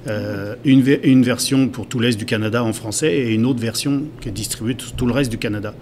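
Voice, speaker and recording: A man's voice: mid-range voice, steady tone, brisk, darkish tone, slight background noise. A man from France with a French accent, a guest on a chat show who talks with many fillers and restarts. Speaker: magazine publisher guest. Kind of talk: casual chat.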